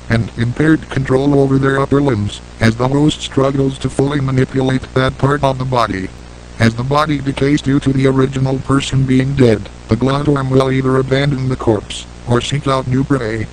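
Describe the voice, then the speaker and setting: A man delivering a scripted emergency warning as an announcer, in a formal, measured and impersonal manner, in analog horror style. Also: medium pitch, slight background noise, poor audio.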